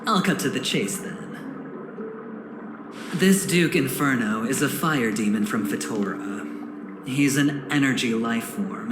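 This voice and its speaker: An actor playing a suave, smug, scheming corporate insider in a video game, speaking theatrically with dramatic pauses. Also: male voice, mid-range voice, echoey room, background noise. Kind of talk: video game cutscene dialogue.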